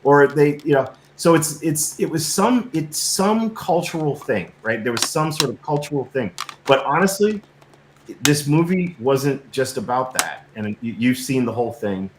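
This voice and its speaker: A middle-aged man speaking as an interview guest and filmmaker, telling stories casually in an earnest, reflective, halting way with emphatic repetition. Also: medium pitch, expressive. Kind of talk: casual conversational storytelling. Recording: roomy echo, faint background noise.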